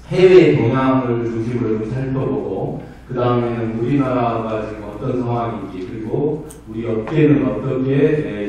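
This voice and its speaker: A middle-aged man who is hoarse from a cold gives a polite, conversational presentation at a conference. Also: mid-range voice, warm tone, a little hiss or hum. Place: large conference hall. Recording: very echoey hall, voice distant.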